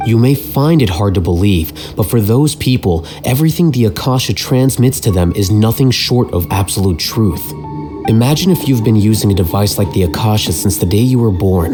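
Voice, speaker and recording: A man voicing a calculating young scholar in a video game, measured, aloof and matter-of-fact. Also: fairly low pitch, animated, lightly acted. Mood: aloof, explanatory. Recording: clear music behind the voice.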